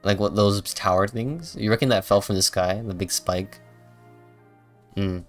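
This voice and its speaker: A man streams a game in a fairly low voice. He comments casually and muses out loud, working through his thoughts. Faint game music sits behind him. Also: measured pace. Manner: thinking aloud, musing.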